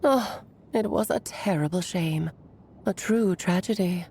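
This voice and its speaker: A woman's voice: on the low side, unhurried, a little mellow, breathy edge. A woman playing a playful, whimsical storyteller mage aunt in a video game, with a theatrical, teasing, grandiose delivery. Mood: wistful. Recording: music behind the voice.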